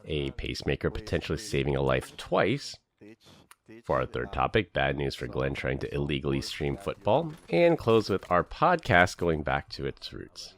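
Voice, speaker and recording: Male podcast host, very low and animated, laughs a lot, casual delivery. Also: moderate pace. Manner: laughs often, jokey and animated.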